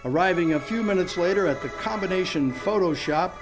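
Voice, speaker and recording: A man's voice: high voice, animated, fairly quick, over music. A man playing the announcer and narrator of an old-time radio detective mystery, reading from a script. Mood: storytelling.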